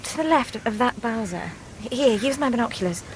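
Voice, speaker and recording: An actress in a radio drama playing a keen aircraft expert who talks precisely, with slight hesitations. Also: female voice, on the high side, expressive, normal speed, slight background noise, lightly acted.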